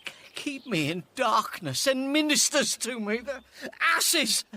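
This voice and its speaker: A high-voiced, wronged gentleman prisoner in a classical radio play. He stammers and pleads urgently, his bright, tense tone swinging widely as he insists he is sane. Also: sing-song, unhurried, a little hiss or hum, full character voice. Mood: urgent pleading. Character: desperate wronged gentleman locked in darkness, pleading sanity. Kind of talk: classical radio drama acting in Shakespearean English.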